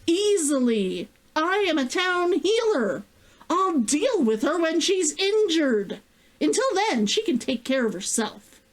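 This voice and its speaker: A woman's voice: very high voice, very animated, takes it slowly, pitched above the performer's own voice, heavily acted. A woman voicing an exasperated, firm male town healer who is losing his temper in a story read aloud. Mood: angry, dismissive.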